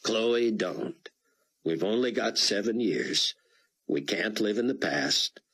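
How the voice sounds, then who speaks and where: A calm young man, patient and forgiving, with a breathy low voice , as the narrator plays him. He talks evenly, with a wry lightness. Audiobook dialogue. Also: slow, full character voice. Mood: gentle. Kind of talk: audiobook character voice.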